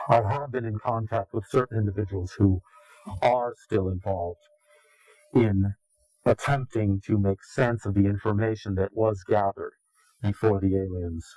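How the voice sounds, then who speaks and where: A man giving an interview in a slow, grave, deliberate way, pausing often between phrases. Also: lowish voice, darkish tone, faint music behind, poor audio. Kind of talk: ominous recorded interview.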